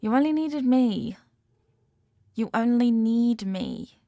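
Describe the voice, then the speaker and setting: A woman's voice: on the high side, expressive, slow, warm tone, no room sound, studio quality. A woman acting a possessive, manipulative rich young girlfriend in an intimate audio roleplay, talking down in a coaxing, teasing way with veiled threats. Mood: exasperated, possessive.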